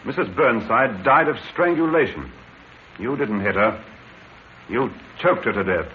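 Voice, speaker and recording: An actor playing a courteous but firm police inspector in a vintage radio drama. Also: male voice, medium pitch, animated, close to an everyday voice. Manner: courteous, measured, quietly stern. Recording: tinny noisy old radio broadcast.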